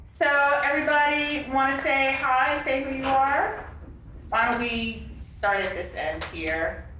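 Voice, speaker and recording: A woman's voice: sits high, unhurried, bright, forceful tone. A woman moderates a live event, introducing and explaining to the room. She is heard from a distance in a strongly echoing space with faint noise. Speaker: moderator of a puppet show panel. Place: convention meeting room.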